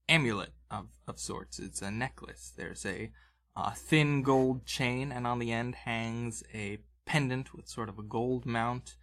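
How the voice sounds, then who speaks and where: Mid-pitched man narrating a tabletop game. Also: fairly bright tone. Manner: conversational, descriptive, with vocal sound effects. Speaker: game master, podcast host.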